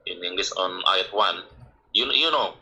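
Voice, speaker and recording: Very bright, halting man on a call. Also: low-pitched, animated, rough recording. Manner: hesitant, halting, searches for words with many "uh"s. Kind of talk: religious debate.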